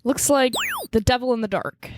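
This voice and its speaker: Woman playing an eager high-voiced investigator, noisy track. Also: normal speed, close to an everyday voice. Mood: urgent, businesslike. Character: blunt, eager, naive female time-crime investigator. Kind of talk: comedic radio drama acting.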